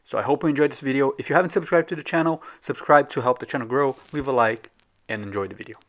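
Male gaming channel host, medium pitch, scripted intro read. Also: moderate pace, fairly bright tone.

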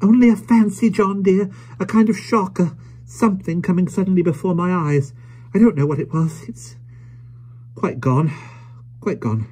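A man voicing a gentle, fragile young wife in a storybook reading, flustered and faltering. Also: high voice, normal speed, husky, pitched above the performer's own voice. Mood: shaken, soothing. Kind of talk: audiobook character voice.

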